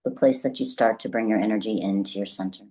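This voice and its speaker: A woman with a notably deep, low voice guides a meditation. She speaks gently and deliberately, leaving long pauses between phrases. Her delivery is soothing, calm instruction.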